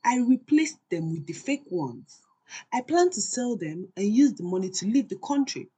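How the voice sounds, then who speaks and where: A woman's voice: medium pitch, very animated, normal speed, warm tone, husky, pitched above the performer's own voice. A woman voicing a cool, ruthless, scheming wife in a folktale, firm and calculating. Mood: cool, confiding.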